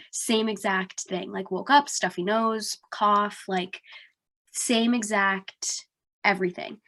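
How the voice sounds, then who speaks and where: A woman's voice: mid-range voice, fairly bright tone. A young woman from New Jersey, sounding congested, telling a story in a casual podcast chat with lots of "like". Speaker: podcast co-host.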